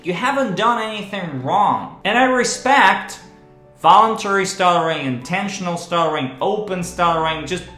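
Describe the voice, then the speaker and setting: A man hosting a vlog as a speech coach, talking in a slow, deliberate and earnest way to his viewers. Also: high voice, lively intonation, pushed and bright. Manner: slow, deliberate, earnest delivery with measured pauses. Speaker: speech coach and channel host. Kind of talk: direct-to-camera vlog talk. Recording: roomy echo with faint music underneath.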